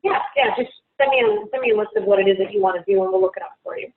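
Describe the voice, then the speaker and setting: Chatty woman from Ontario, travel host, animated pitch, tinny phone-like sound. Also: rapid-fire, dry recording. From Ontario, Canada. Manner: chatty, reassuring, lively. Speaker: travel agent hosting a webinar. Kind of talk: casual Q&A on a video call. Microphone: video call. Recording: tinny phone-like call audio.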